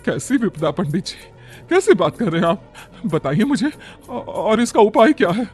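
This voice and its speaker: A man's voice: sits high, sing-song, moderate pace, voice pushed up. A man voicing a frightened but determined village aunt with an urgent, pleading manner in a narrated horror story. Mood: alarmed, pleading. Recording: background music under the voice.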